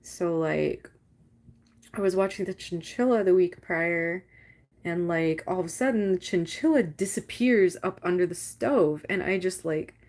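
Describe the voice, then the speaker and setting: Young woman w/ a really low gravelly voice, rambly stream chat, says like a lot. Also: measured pace, a little mellow. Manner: rambling, swearing, lots of "like". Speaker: livestream host. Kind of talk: casual livestream chat with viewers.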